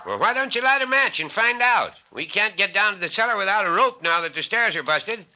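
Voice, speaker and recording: An actor playing a plain-spoken, skeptical small-town doctor, a questioning sidekick in an old-time radio drama. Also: male voice, high voice, sing-song, fairly quick, pushed and bright, heavily acted. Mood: anxious, curious. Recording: noisy old radio recording with clicks.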